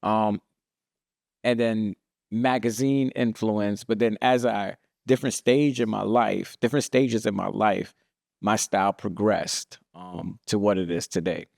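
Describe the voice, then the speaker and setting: Gravelly-voiced New man, casual. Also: lowish voice, steady tone, unhurried, darkish tone, no echo, clean studio sound. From Brooklyn, New York. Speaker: podcast co-host. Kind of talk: casual chat.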